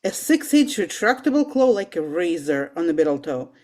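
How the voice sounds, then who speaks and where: A woman's voice: fairly low pitch, sing-song, moderate pace, darkish tone. A woman reading the part of a wry, guarded male paleontologist in an amateur table read of a film script, dryly and with some stumbles. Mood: menacing lecture.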